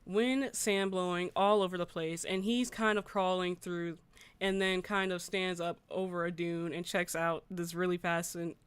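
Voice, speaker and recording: A woman's voice: lowish voice, steady tone, moderate pace, clear, resonant tone, dry recording. A woman hosting a gaming livestream, chatting informally with viewers and reading news aloud in a conversational way. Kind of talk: casual livestream chat, reading articles aloud and reacting.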